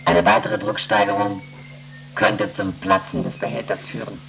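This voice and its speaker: An actress playing a female ship's computer in a German radio play, reciting emergency readings in a clipped, procedural monotone. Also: rumbling low, moderate pace, vocal fry, loud music behind the voice. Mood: impassive, procedural.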